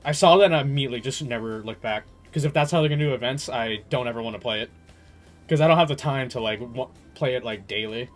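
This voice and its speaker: A man giving casual gaming commentary as a streamer, talking in an animated, slangy, exasperated way. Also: medium pitch, fast, faint music behind. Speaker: game streamer.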